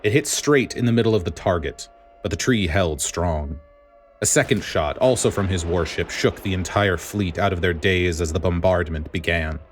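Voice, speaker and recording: A man reading a story aloud as dramatic scripted narration, in a grave, deliberate manner. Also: deep voice, a little hiss or hum. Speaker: podfic reader.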